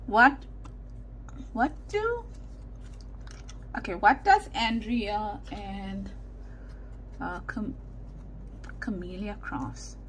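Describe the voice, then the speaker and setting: Woman, South African Indian accent, unhurried chatty crafting talk, mid pitch, faint room ambience. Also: slight background noise, low-quality recording. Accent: South African Indian English. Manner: rambling, self-correcting, muttering asides while working. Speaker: craft video host. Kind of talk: casual chatty crafting commentary. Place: indoors at a craft desk. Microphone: overhead camera setup, hands only in frame.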